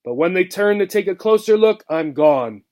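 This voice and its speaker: Male reader as old male mouse, gruff, bitter, vengeful, emphatic, storybook character. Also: sits high, expressive, moderate pace, darkish tone. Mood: gleefully vengeful. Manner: scornful, emphatic.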